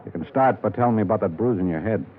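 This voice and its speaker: An actor playing a tired, shrewd small-town police lieutenant in a 1950s radio drama, speaking in a blunt, folksy, musing way. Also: male voice, lowish voice, racing, natural voice. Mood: dry, musing. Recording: tinny band-limited old radio recording.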